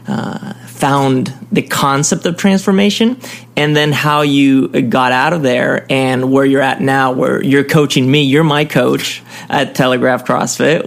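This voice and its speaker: Gravelly-voiced male interviewer. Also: mid-range voice. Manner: curious, rephrasing questions with fillers. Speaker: podcast host, interviewer. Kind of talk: conversational interview.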